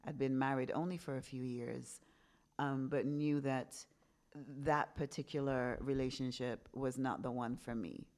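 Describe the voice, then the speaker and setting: A woman in her early fifties speaks in an unusually deep, low register. Her manner is candid and reflective as she recounts her own past in a relaxed podcast conversation. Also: measured pace. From England. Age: 50s. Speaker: podcast host being interviewed.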